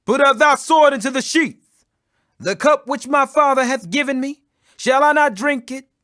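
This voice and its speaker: Guy doing a resolute bible teacher facing accusers, high bright voice, firm n declamatory. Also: normal speed, no room sound, clean studio sound. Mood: firm, commanding.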